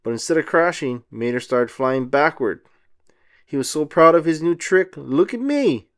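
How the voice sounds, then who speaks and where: A man reading a children's storybook aloud as narrator, in an expressive style. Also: fairly high pitch, normal speed, smooth.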